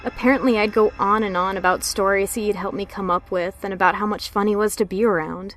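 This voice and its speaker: A woman's voice: mid-range voice, fairly even intonation, a little fast, fairly bright tone, a little hiss or hum. A woman giving a narrated reading of an eerie first-person childhood story. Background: background music.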